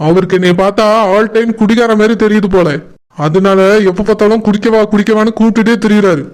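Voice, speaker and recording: A man's voice: high-pitched, steady tone, fast talker, darkish tone, close to an everyday voice. A man voicing a jealous, hot-tempered young groom-to-be who grumbles insistently in a village cartoon. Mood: exasperated. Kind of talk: cartoon voice acting in colloquial dialogue.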